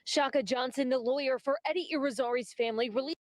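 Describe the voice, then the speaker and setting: Higher-pitched woman reporting the news. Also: fast talker, no room sound, clean studio sound. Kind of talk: scripted news narration.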